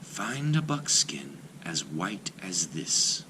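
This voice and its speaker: A man voicing a gentle young maiden from a folk-tale dream, speaking softly. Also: sits low, very animated, slow pace, background noise, full character voice.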